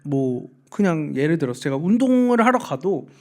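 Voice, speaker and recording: A man in his thirties co-hosting a podcast, chatting casually and telling stories with animated, shifting delivery. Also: on the high side, normal speed. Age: 30s. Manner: animated, storytelling, frequent agreeing hums. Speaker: podcast co-host. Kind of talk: casual chat.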